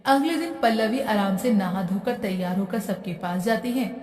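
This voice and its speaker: A woman's voice: mid-range voice, moderate pace, darkish tone. A woman reading scripted narration for a moral story as the narrator. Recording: echoey hall-like reverb, distant.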